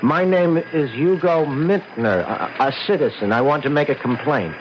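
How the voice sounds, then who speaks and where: A man's voice: on the high side, normal speed, noisy background, close to an everyday voice. An actor playing a meek, aggrieved man in a radio drama, speaking in a hesitant, pedantic and plaintive way. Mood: fussy, insistent. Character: fussy, meek, aggrieved male artist and chronic complainer. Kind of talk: old-time radio drama acting. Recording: dull vintage radio recording with music.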